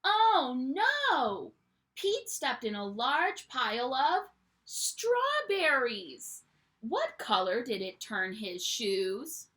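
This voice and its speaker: A young woman teacher reading a picture book aloud to children at home, in an animated storytime voice that invites them to join in. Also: high-pitched, drawn-out words. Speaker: primary school teacher.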